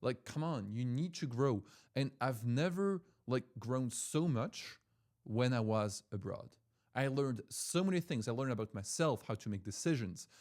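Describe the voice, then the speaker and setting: A man's voice: mid-range voice, animated, a little slow, a little mellow. A young man from Switzerland, a language-school owner, talking casually and motivationally to his viewers, full of fillers and repeated words. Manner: chatty, repeats himself, heavy use of "like" and "right?". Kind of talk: casual motivational talk to camera.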